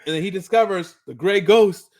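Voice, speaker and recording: High-voiced man chatting on a fan podcast. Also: moderate pace, a little mellow. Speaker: co-host of a fan rewatch podcast. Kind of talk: casual chat, recapping and commenting on a cartoon.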